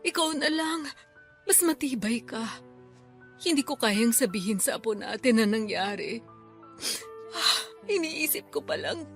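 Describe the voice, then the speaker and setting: Grieving filipino lola for radio drama, high voice, emotional n weepy, bit of music behind. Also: female voice, expressive, measured pace, pauses often, fairly bright tone. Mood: sorrowful, worried. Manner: tearful, emotional delivery. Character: grieving elderly grandmother, tearful, mourning her daughter. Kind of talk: Tagalog radio drama acting.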